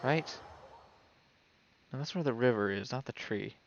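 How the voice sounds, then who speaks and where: A man's voice: medium pitch, unhurried, lo-fi sound, background noise. A man streaming a video game, giving casual live commentary and thinking aloud as if talking to himself. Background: video game music.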